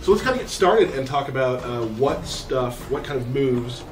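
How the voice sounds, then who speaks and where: A man's voice: mid-range voice, lively intonation, normal speed, distant mic, over music. A man hosting a casual game demo, explaining things in a conversational way. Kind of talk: casual gameplay presentation.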